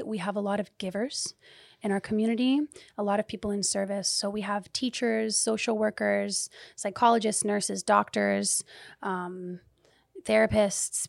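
Lively woman in her thirties, dry close sound. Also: medium pitch, normal speed, gravelly, dry recording. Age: 30s. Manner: animated, swearing, laughing, shifting from loud to hushed. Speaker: guest, community leader and business owner. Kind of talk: candid podcast conversation.

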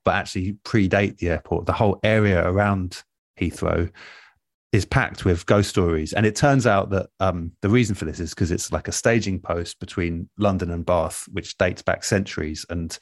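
Deep-voiced man reflecting in an interview. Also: no echo, clean studio sound. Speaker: radio producer and guest. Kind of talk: relaxed reflective interview talk.

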